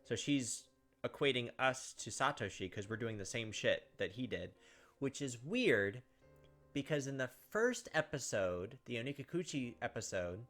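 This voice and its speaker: A man's voice: medium pitch, sharp tone. Casual young man over game music. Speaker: gaming video host. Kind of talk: casual game commentary mixed with reading narration aloud.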